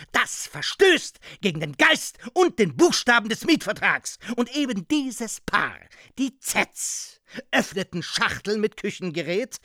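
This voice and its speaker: An actor playing an indignant, self-righteous, pedantic homeowner in a radio play, speaking pompously and with heavy emphasis. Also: male voice, high voice, sharp tone, no room sound, clean studio sound, full character voice. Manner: pompous, emphatic, repeating key phrases for weight. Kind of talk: German radio play acting.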